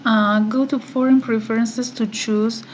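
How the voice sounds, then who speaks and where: A woman whose first language is Farsi, giving a step-by-step online course tutorial, talking methodically and pausing on "uh" often. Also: on the high side, fairly even intonation, a little hiss or hum, rough recording. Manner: slow, methodical, with frequent "uh" pauses. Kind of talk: screen-recorded software tutorial.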